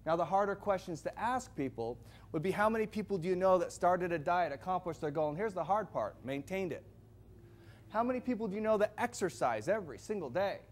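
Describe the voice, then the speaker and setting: A man giving a loud, projecting sales presentation to a live audience, firing questions at the crowd. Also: sits high. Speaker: product presenter.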